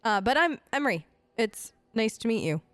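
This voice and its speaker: Woman gamer, lively swooping pitch, close dry sound, casual role-play, breezy and wry, faint music. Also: moderate pace, no echo. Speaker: gamer voicing her player character. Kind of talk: improvised role-play let's play.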